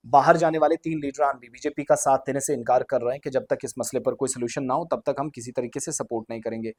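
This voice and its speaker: A man presents news commentary to viewers in an explanatory way, glossing formal terms in English. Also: medium pitch.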